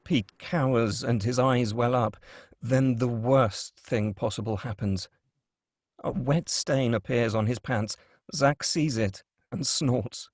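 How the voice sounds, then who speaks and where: A man's voice: medium pitch, takes it slowly, no room sound, studio quality. A man narrating a screenplay, reading out scene headings and stage directions. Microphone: close, dry microphone.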